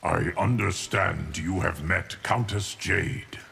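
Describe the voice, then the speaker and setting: A man playing a solemn, ancient guardian of time in a video game, formal and measured, with a gravelly voice. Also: deep voice, a bit bright, a little hiss or hum, exaggerated voice. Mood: solemn, stoic. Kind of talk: video game character acting.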